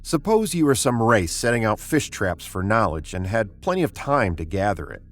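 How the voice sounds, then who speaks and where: Low-voiced commanding spaceship captain. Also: male voice, big pitch swings, moderate pace. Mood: pondering, intent. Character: male spaceship captain, commanding, pondering, first-person storyteller. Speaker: narrator and lead actor. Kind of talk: 1950s radio drama acting.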